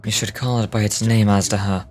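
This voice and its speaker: An actor playing a calm, stoic ancient man of godlike dignity in a video game, formal and measured. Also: on the low side, soft background music, lightly acted. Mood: solemn, resolute. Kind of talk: dramatic video game voice acting.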